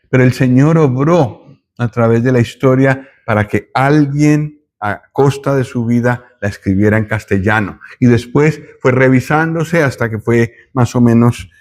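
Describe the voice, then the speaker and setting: A man from Colombia, giving a thoughtful Bible teaching with pauses and self-corrections. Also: mid-range voice, darkish tone.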